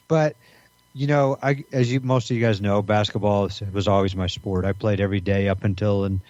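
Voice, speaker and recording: A man in his fifties co-hosting a podcast, talking in halting casual chat full of fillers. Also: on the low side, steady tone, a little mellow, no room sound. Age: 50s. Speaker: podcast co-host. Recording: dry, dull lo-fi sound.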